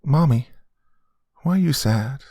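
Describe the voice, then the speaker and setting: Man putting on an excitable, high-pitched little girl. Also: slow, slightly rough, no echo, studio quality, exaggerated voice. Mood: excited. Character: excitable, pleading little girl, curious and pouty.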